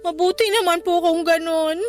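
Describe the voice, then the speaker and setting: A woman's voice: very high-pitched, steady tone, moderate pace, faint music behind. A woman playing a devoted, respectful young daughter in an emotive Filipino radio drama. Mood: tearful, earnest. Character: devoted young daughter, a student, tearful but teasing.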